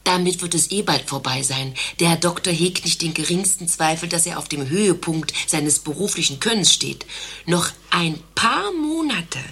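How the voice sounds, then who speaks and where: A woman's voice: fairly low pitch, lively intonation, moderate pace, a bit bright, breathy edge, exaggerated voice. An actress playing a grand female patient with a stately, theatrical, dry manner in a satirical radio play. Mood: dryly solemn.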